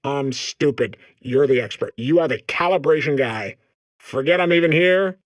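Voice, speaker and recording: Man as an excitable, naive robot core, swooping pitch. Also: moderate pace, dry recording, close to an everyday voice. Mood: nervously cheerful. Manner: babbling, second-guessing, apologetic. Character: eager, naive, nervously chatty male robot core. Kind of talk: comedic video game voice acting.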